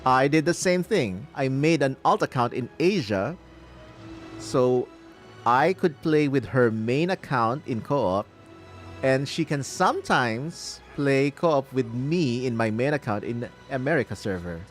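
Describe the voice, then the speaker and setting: A man's voice: medium pitch, lively intonation, unhurried. A middle-aged man from the Philippines giving casual, rambling commentary on a livestream as he plays a game. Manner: chatty, rambling. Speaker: game streamer. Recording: game music behind.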